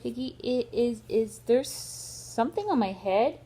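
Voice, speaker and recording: A woman's voice: on the high side, lively intonation, a little slow, dark tone, slight background noise, voice pushed up. A woman voicing an anxious, easily flustered male elephant from a picture book, with hesitant, stammering delivery. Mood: worried.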